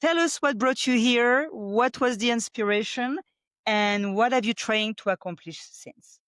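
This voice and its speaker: A woman's voice: on the high side, unhurried, a bit bright, no echo. A woman, hosting a podcast and asking questions in a conversational way. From Europe. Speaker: podcast host. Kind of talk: conversational interviewing.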